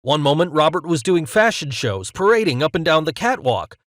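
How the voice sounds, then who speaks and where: A man playing an excitable studio news presenter in an audio drama, theatrical and full of emphatic wonder. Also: fairly high pitch, a little fast, dry recording. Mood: marvelling.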